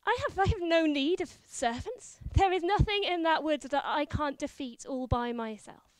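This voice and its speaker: Woman doing a cowardly little knight, high voice, stammering, dry sound. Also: moderate pace, no room sound, lightly acted. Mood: pompous. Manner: blustering, stammering. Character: boastful cowardly knight, nervous little man.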